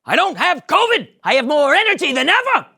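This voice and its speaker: A woman putting on a cranky shouting old father. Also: sits high, big pitch swings, brisk, gravelly, voice pushed up, heavily acted. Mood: indignant. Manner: grumpy, shouting. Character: cranky older father, yelling back.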